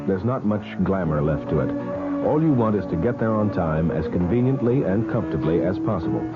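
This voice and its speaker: A man reading a scripted 1970s radio commercial as a conversational sales pitch. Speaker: commercial announcer. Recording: dull old radio broadcast, loud music bed.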